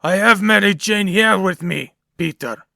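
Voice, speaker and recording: A man playing an unhinged, taunting male supervillain in a comic book dub, sly and mock-wistful as he makes deliberate threats. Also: high voice, vocal fry, sharp tone, no room sound, studio quality, full character voice. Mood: threatening.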